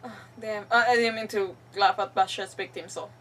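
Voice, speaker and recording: A woman's voice: medium pitch, fairly even intonation, normal speed, fairly bright tone, recorded from a distance, a little hiss or hum. A woman streaming a video game, giving casual, rambling live commentary.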